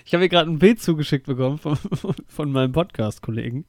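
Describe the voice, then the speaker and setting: A man's voice: on the high side, animated, quick pace, darkish tone, no room sound. Casual podcast co-host, young man, slightly under the weather with a cold, slangy and talkative, close dry sound. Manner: chatty, slangy.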